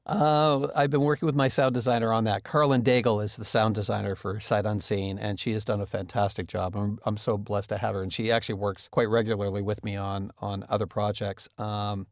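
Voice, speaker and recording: A man's voice: fairly low pitch, little pitch movement, a little fast, few pauses, dry recording, clean studio sound. A man chatting casually in an interview, effusive and feeling his way through his thoughts. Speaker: audio drama director being interviewed.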